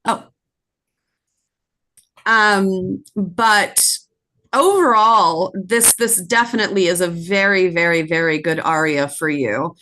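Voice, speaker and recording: Female judge, native French speaker, unhurried and measured delivery, constructive feedback to a contestant over a video call. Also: fairly low pitch, pushed and bright. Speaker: singing competition judge. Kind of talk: spoken critique to a contestant.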